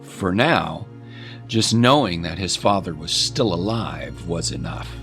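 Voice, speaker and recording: A man narrating a scripted story in a measured, literary storytelling manner. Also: low voice, expressive, vocal fry, fairly bright tone, faint music behind.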